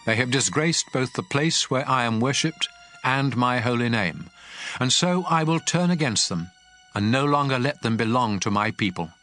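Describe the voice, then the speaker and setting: A man's voice: mid-range voice, animated, moderate pace, sharp tone. A man playing God as a stern lawgiver, solemn and commanding in a dramatized scripture reading. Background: music.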